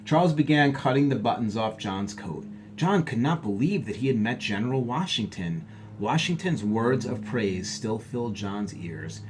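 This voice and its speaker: A man reading a story aloud as a teacher, pausing to explain things to his listeners. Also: medium pitch, expressive, slight background noise. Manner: explanatory, pauses to clarify for listeners. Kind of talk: read-aloud storytelling with asides.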